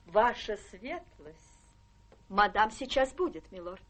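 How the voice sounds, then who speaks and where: An actress playing a brisk, deferential household maid in a radio play, announcing things in a formal, clipped way. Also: female voice, mid-range voice, big pitch swings, fairly bright tone, slightly rough, heavily acted. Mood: formal, obedient. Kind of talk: theatrical radio play acting.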